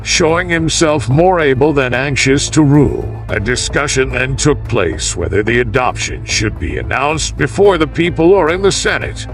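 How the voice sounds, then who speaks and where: A man reading a classical history as a scripted audiobook, in a measured, formal, oratorical manner. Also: medium pitch, expressive, soft background music. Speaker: audiobook narrator.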